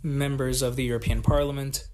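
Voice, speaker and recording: A man interpreting a formal speech live into English, phrasing things carefully and haltingly. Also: mid-range voice, little pitch movement, darkish tone.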